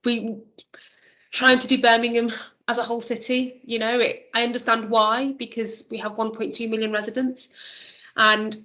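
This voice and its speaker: A woman's voice: fairly high pitch, level tone, moderate pace, bright, forceful tone, rough recording. Woman chairing a video meeting. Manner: chatty, thinks aloud with many restarts and fillers. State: losing her voice. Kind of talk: online meeting discussion. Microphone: video call.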